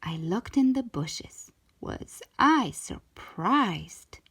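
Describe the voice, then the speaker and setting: Storytime host, female: very lively intonation, slightly husky, unhurried, expressive children's narration. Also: medium pitch. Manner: expressive, drawn-out emphasis for young listeners. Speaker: storytime host reading a children's book aloud.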